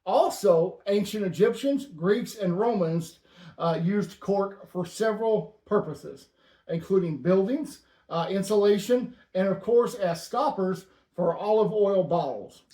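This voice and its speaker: A man hosting a casual stamp-collecting show, with dry, deadpan banter. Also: high-pitched, unhurried, reverberant. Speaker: host.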